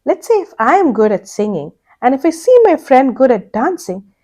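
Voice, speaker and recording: A woman's voice: high voice, very animated, normal speed, warm tone. A woman telling a story to children in a playful way. She makes vehicle sound effects and keeps asking the listener questions. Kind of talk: animated storytelling for kids.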